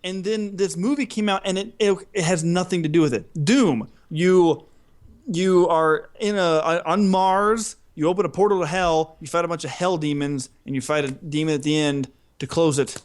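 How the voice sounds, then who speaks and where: A man co-hosting a podcast in casual chat, telling stories in an animated, self-deprecating way. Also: on the high side, moderate pace. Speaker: podcast co-host.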